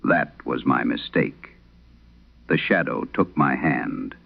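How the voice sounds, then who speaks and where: A man performs an aging exorcist priest for a horror radio play, with a very low voice full of gravel. He confesses in grave, literary, measured phrases that swing widely in pitch, haunted and intense. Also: lively intonation, dull audio, heavily acted. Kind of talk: dramatic horror radio monologue.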